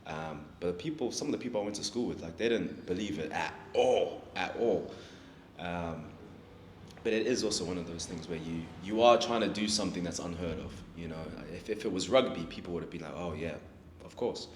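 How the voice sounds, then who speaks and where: A young man with a low, deep voice talks in a relaxed, halting way. He stops and restarts his sentences as he answers questions. The recording sounds echoey, with a little background hiss. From South Africa. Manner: laid-back, hesitant, restarts often. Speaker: guest, fighter. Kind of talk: casual interview. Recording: echoey room with faint noise.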